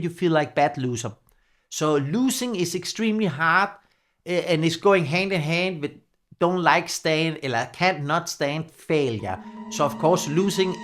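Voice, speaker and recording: A man in his forties with a Danish accent talks casually to the camera, gesturing a lot and laughing often. His English is halting and non-native, and his voice sits somewhat high. He is recorded close on a studio mic in a small home studio. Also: fairly bright tone. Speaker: numerology coach. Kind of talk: casual talking-head explainer. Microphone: large studio mic on boom arm.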